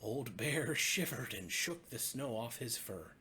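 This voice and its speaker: A man, a pastor, narrating a bedtime story for children in a gentle, expressive way with deliberate phrasing. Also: medium pitch, a little hiss or hum.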